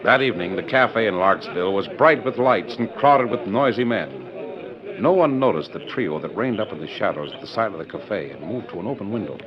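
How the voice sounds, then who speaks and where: An actor as the narrator of a western adventure, giving dramatic scripted 1940s radio narration. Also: male voice, lowish voice, lively intonation, brisk, a crowd in the background, close to an everyday voice. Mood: scene-setting. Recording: muffled 1940s radio recording.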